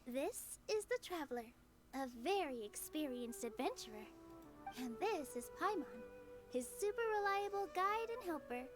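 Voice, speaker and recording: A woman playing a sweet, modest, polite young dancer in an anime video game, speaking gently and earnestly. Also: high voice, big pitch swings, moderate pace, faint music behind.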